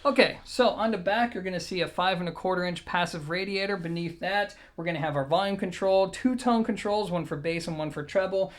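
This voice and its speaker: A man with a notably high-pitched voice talks through a gear review for his viewers. His delivery is conversational and methodical, taking one point at a time as he explains what a product offers. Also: a little fast, few pauses.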